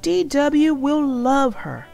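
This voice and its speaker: Woman voicing a high-pitched pleading schoolboy. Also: sing-song, slow, vocal fry, darkish tone, faint music behind, exaggerated voice. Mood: coaxing. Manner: pleading, earnest. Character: pleading, anxious young schoolboy, exasperated older brother.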